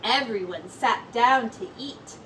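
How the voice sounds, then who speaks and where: A woman teacher reading a storybook aloud to children in an expressive, deliberate way with drawn-out words. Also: fairly high pitch. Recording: distant mic with clear background noise.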